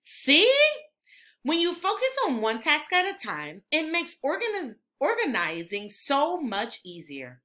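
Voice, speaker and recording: A woman reading a children's book aloud in an expressive, instructional way, like a teacher. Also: high-pitched, measured pace. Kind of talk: animated children's read-aloud.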